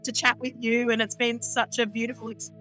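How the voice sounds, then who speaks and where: A chatty woman with a high, smooth voice and a cackling laugh. She talks in a loose, unfiltered way, like she is chatting with a friend over a remote call. Faint music plays under her. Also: little pitch movement, a little fast, pushed and bright, rough recording. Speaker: astrologer guest. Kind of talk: casual interview chat.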